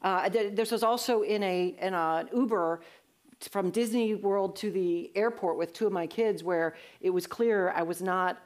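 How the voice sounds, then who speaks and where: A woman speaking on a panel, earnest and conversational. She restarts her sentences often as she thinks aloud. Her voice sits at a medium pitch. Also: a little slow. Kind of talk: panel discussion answering audience.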